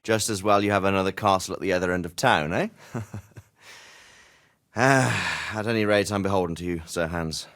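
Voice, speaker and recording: A man playing a middle-aged medieval Bohemian lord in a video game, formal, authoritative and fatherly, speaking in measured phrases. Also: low voice, a bit bright. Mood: wry, courteous. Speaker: nobleman and military leader. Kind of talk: video game dramatic dialogue.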